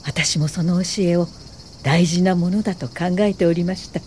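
A woman's voice: on the low side, fairly even intonation, mellow, a little hiss or hum, lightly acted. An actress playing a thoughtful woman in her late fifties, a welfare official, in a radio drama, speaking politely and reflectively. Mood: courteous. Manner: polite, measured, reflective storytelling. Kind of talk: radio drama narration and dialogue.

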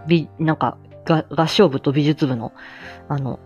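A woman's voice: deep voice, normal speed, a little mellow, highs cut off, faint music behind. A woman chatting casually and reflectively on a livestream, self-effacing and hesitant, often trailing off mid-phrase. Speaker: amateur voice drama actor and livestream host.

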